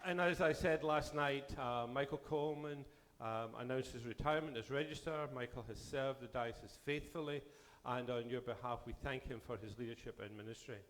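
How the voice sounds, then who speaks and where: A man serving as bishop, delivering a formal address to a church assembly while losing his voice, with a measured pace and sentences that trail off. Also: medium pitch, a bit bright, a little hiss or hum. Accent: Canadian.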